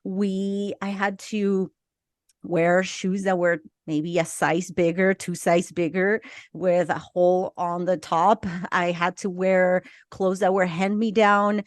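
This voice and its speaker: A middle-aged woman from Argentina with a slight Argentine Spanish accent, telling her life story as a podcast guest in a slow, reflective way. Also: fairly low pitch, flat delivery, dry recording. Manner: slow, reflective, with small laughs and restarts. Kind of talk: personal life story in interview.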